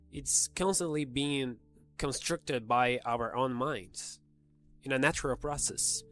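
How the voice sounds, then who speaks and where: A man with a slight cold, hosting a casual explainer and talking straight to the viewer. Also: medium pitch. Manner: conversational, talks directly to the viewer. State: has a cold, voice a little off. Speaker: video host explaining psychology.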